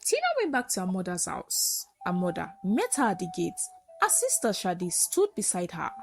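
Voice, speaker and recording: A woman storyteller narrates a folktale with very lively, swooping intonation. Her delivery is earnest and dramatic, in a medium-pitched voice. Music plays behind her. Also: vocal fry.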